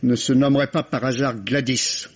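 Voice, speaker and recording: An actor playing an authoritative, probing police inspector in a French radio mystery play, formal and drily ironic. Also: male voice, medium pitch, little pitch movement, normal speed, close to an everyday voice. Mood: wryly probing. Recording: muffled old French radio recording.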